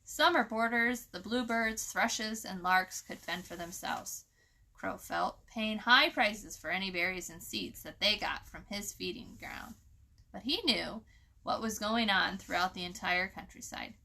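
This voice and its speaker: Woman, children's storyteller, expressive storybook narration, bright forceful voice, medium pitch. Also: measured pace, creaky.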